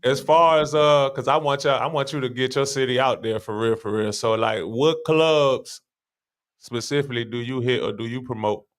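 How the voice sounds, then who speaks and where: A male podcast host with a smooth, resonant voice. He keeps the chat loose and slangy and hypes up his guest as he asks questions. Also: medium pitch, moderate pace. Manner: relaxed, slangy, hype questions. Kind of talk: casual interview chat.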